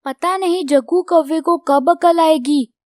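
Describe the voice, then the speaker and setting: A woman's voice: extremely high pitch, a little slow, a little mellow, no echo, studio quality. A woman voicing a concerned mother crow in a children's cartoon, motherly and questioning. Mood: worried. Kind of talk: Hindi children's cartoon dubbing.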